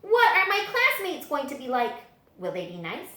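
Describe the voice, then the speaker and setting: Lady librarian doing kids story time, higher voice, really swoopy and expressive, echoey room. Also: moderate pace. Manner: dramatic, animated storytelling delivery. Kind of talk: expressive children's picture book read-aloud.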